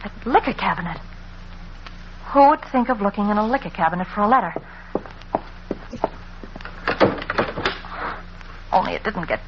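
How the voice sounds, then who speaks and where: A woman's voice: medium pitch, lightly acted. An actress playing an anxious, determined young woman sleuth in an old radio mystery, with an urgent, earnest delivery. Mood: tense, determined. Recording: muffled, noisy old radio recording.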